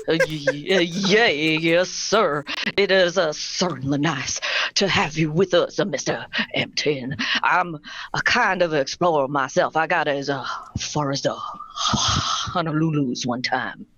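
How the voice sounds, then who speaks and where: A man acting in a radio play as a meek, eager train porter who stammers deferentially and talks haltingly. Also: on the high side, pushed and bright, no echo, lo-fi sound. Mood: nervous, deferential.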